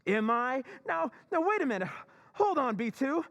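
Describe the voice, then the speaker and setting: This is a timid, apologetic husband who stutters and trips over his words, played by a man. His voice is lively and rides high, rising sharply as his nerves get the better of him. He speaks in the manner of a classic radio play. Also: normal speed, creaky, full character voice. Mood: panicked. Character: meek, nervous middle-aged husband who panics. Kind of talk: old-time radio drama recreation.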